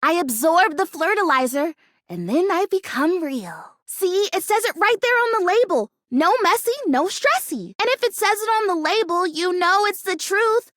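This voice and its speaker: A woman's voice: very high-pitched, expressive, moderate pace, a bit bright, dry recording, studio quality. A woman voicing a bubbly, naive, stubbornly hopeful fake houseplant in a video game, chatty and dramatic in her delivery. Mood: excited. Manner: perky, dramatic, emphatic, chatty. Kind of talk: animated video game character acting.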